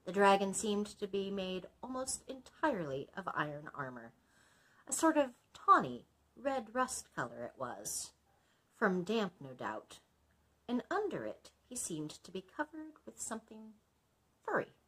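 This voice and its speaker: A woman's voice: medium pitch, big pitch swings, a little slow, fairly bright tone, husky, poor audio. A woman librarian reading a story aloud expressively, breaking off to explain things in chatty asides.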